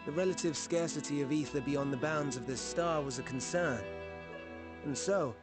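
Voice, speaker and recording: A man's voice: fairly high pitch, moderate pace, lightly acted. An actor playing a learned, courteous male scholar in a game cutscene, lecturing in old-fashioned speech. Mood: explaining. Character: learned archaic male scholar, genteel and kindly. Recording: game audio with music behind.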